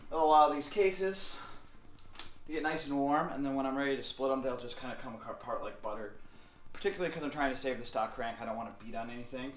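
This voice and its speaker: A man's voice: mid-range voice, quick pace. A young man hosting a casual workshop how-to vlog, joking offhand and swearing casually as he works on a motor. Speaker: moped mechanic host. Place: large cluttered garage workshop, indoors. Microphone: camera mic from across the room. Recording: echoey workshop, camera far off, background noise.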